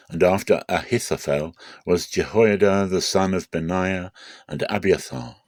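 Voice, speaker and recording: A man narrating a Bible passage as a measured, deliberate dramatic scripted reading. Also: low voice, no echo.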